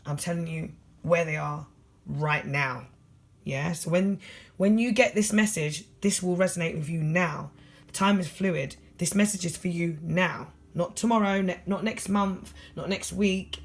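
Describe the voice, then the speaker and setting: Husky London voice, gravelly, tired, fast and blunt, casual tarot reading. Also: mid-range voice. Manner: fast, blunt, straight-talking. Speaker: tarot reader.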